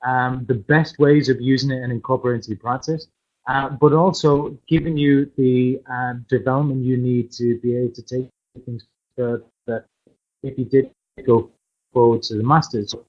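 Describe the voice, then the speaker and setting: Loud, halting male presenter on a dull, muffled webinar feed. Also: mid-range voice, steady tone. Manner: hesitant, many fillers and restarts. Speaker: course presenter. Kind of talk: live online course introduction.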